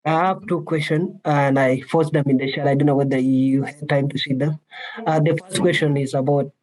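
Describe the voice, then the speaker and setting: A man's voice: on the high side, fairly even intonation, moderate pace, a little mellow, poor audio. Earnest hesitant man from south sudan on a video call. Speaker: webinar participant asking questions.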